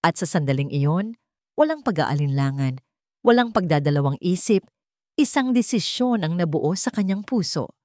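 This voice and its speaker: A woman's voice: on the low side, animated, normal speed, a little mellow, no echo, studio quality. A woman with a Filipino accent, narrating a scripted love story in a dramatic storytelling style.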